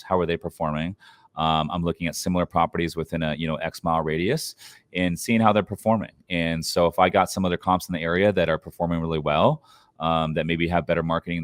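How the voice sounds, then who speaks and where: A man with a very deep voice talks as an interview guest on an investing podcast. He is informal and emphatic, offering advice with some slang, and the sound is dry and close to the room-free. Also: no room sound. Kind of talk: conversational interview answers on investing.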